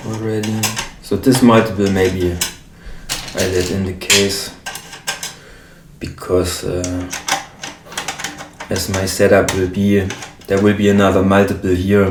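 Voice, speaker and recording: A man with a German accent talks casually while assembling his synth case, pausing often to search for English words. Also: low-pitched, noisy background. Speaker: modular synth hobbyist. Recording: roomy, mic far off.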